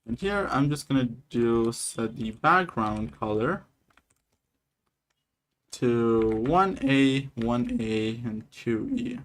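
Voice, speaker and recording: Guy from nepal live coding, reads out his code step by step, chill and chatty, mid pitch. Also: very animated, slow. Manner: casual, talks through each step while typing. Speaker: coding streamer. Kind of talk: live coding stream, dictating code and reading chat.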